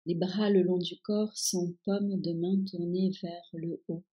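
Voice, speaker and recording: Female meditation guide, low-pitched and very unhurried, calm and soothing delivery of relaxation instructions. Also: breathy edge, lo-fi sound. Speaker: yoga nidra guide.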